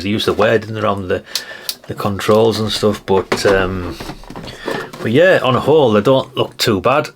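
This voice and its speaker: A middle-aged man with a fairly low voice talks in a loose, unscripted way. He is chatty and mildly sweary, often ending on a tag question. The recording has some noise and clicking behind him. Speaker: host of a retro radio collecting channel. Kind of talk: casual unscripted show-and-tell chat.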